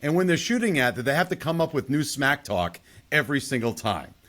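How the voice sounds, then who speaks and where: A man hosts a relaxed film talk in a mid-pitched voice. He sounds inquisitive and conversational, turning to the others with questions. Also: brisk. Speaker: podcast host. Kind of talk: casual film discussion.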